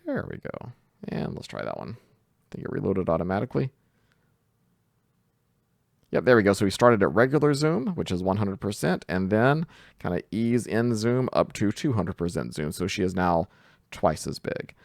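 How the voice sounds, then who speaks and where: Male tutorial host, low register with vocal fry, informal step-by-step explaining, close voice-over sound. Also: sing-song, moderate pace, pauses often, no echo. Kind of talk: casual screen-share tutorial narration.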